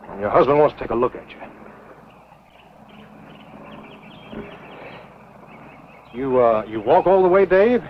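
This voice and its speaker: A man playing a smooth-talking, cowardly backwoods ladies' man with an American accent, in 1950s B-movie style. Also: medium pitch, expressive, fast, natural voice. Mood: agitated. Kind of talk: 1950s B-movie film acting. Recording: muffled, noisy old 1950s film soundtrack.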